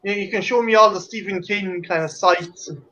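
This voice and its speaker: A man with an Irish accent chatting casually on a livestream, rambling and swearing, with halting restarts. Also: sits high, pushed and bright, highs cut off.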